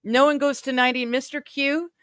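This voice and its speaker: A woman's voice: high-pitched, fairly even intonation, fairly quick, no echo, studio quality. A woman in her forties chatting casually with friends on a stream, laughing often and poking fun at herself. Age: 40s. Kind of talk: casual chat.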